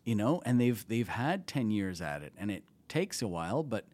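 Man, Canadian accent, fairly low voice, casual rambling podcast talk, trails off. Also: sing-song, moderate pace, darkish tone. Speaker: comedy festival TV producer, guest.

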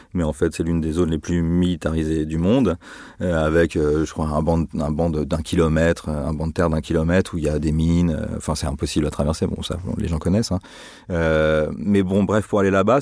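A man's voice: deep voice, darkish tone, dry recording, clean studio sound. A man casually recounting a trip he took, hesitant and full of fillers and restarts.

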